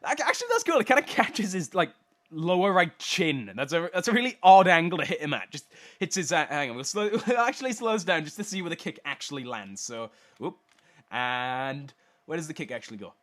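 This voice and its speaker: A young man with a cold talks over game replays with a blocked, stuffy nose. His voice sits a little high and bounces around in pitch. He rambles and laughs at himself when he trips over names. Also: big pitch swings, a little fast, fairly bright tone. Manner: rambling, self-deprecating, stumbles over names. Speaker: host commentating game replays. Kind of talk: casual replay commentary.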